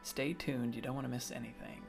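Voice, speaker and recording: An American man hosts an arts podcast. His voice sits at a middle pitch with an audible creak. He presents and interviews in a conversational style. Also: steady tone, fairly quick, music in the background. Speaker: podcast host. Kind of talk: scripted intro and casual interview.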